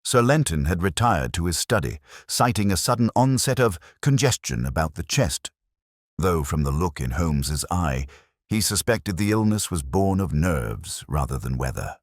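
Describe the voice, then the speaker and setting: A man's voice: low-pitched, sing-song, normal speed, dry recording, studio quality. A man reading scripted narration of a detective story as an audiobook narrator.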